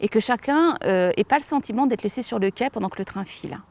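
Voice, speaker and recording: A woman speaks as a local official in an interview. Her voice is a little higher than average and conversational. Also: expressive, quick pace, a little mellow. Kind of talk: interview answer.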